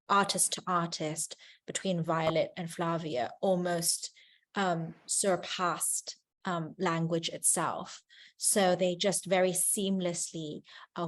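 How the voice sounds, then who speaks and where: A woman with a slightly low voice leads an online artist conversation and renders a guest's words into fluent, measured English. She pauses now and then with small ums, over a video call. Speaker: moderator and interpreter. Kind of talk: interpreting and moderating an online artist talk.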